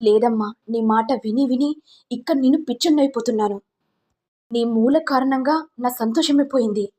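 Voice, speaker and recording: A man's voice: extremely high pitch, moderate pace, darkish tone, breathy edge. A woman acting an angry, defiant grown son. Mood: bitter, resolute. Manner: accusing, ranting. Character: angry, defiant adult son quarrelling with his mother.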